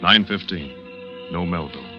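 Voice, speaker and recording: An actor playing a cool, calculating schemer in a vintage radio suspense drama, speaking in a measured, clipped, deliberate way. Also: male voice, low-pitched, close to an everyday voice. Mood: tense, grim. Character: cool, calculating male schemer planning to impersonate his double. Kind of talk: 1940s-1950s radio drama acting, first-person suspense narration. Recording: dull vintage radio recording with music.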